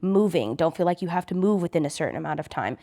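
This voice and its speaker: A woman's voice: on the low side, lively intonation, fast, dark tone. A woman in her twenties chatting with her partner on their vlog, upbeat and earnest. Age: 20s. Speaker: co-host of couple channel. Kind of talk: casual chat.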